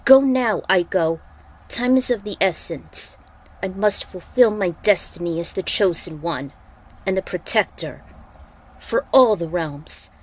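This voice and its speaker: A woman plays a godlike warrior hero from an action fantasy story. He is solemn and resolute, with grave, deliberate delivery. Every phrase is declaimed like a vow. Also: medium pitch, lightly acted, poor audio. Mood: solemn, commanding.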